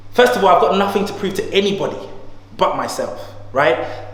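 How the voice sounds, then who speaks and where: A man in his thirties, a guest speaking in a casual conversation with an emphatic, slangy, motivational way of talking. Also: high voice. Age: 30s. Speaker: guest, entrepreneur. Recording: echoey room with long reverb.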